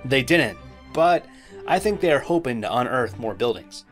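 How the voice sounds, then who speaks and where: A man's voice: mid-range voice, sing-song, normal speed, faint music behind, lightly acted. A man playing a level-headed crewman in a science fiction audio drama, speaking in a matter-of-fact, conversational way. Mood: explaining. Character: level-headed male spaceship crewman, explainer of plans.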